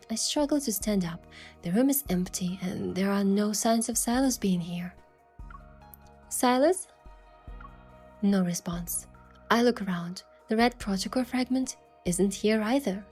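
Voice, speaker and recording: Young romance game heroine, soft sincere storytelling, medium pitch, bit of music under it. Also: female voice, husky. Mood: surprised. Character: young female heroine, sincere, playful, tender, narrating her romance. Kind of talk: scripted first-person story narration and dialogue. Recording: music behind the voice.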